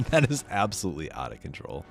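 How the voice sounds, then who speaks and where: Very deep-voiced man streaming a game. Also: wildly swooping pitch, a little fast, creaky. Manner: laid-back, chatty, lots of fillers. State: slightly hoarse and sniffly from a cold. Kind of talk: casual live-stream commentary. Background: faint game music.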